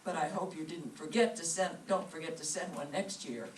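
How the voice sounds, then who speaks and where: A woman's voice: low-pitched, lively intonation, normal speed. A woman playing a long-suffering, affectionate but scolding wife in old-time radio comedy style, with dry, patient reproach. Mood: reproachful. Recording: roomy, distant mic with background noise.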